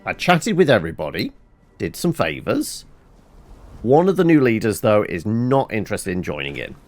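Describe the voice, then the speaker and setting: A man's voice: lowish voice, big pitch swings, normal speed. A man giving casual let's-play commentary in a wry, informal way with muttered asides. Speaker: gameplay commentator. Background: video game music.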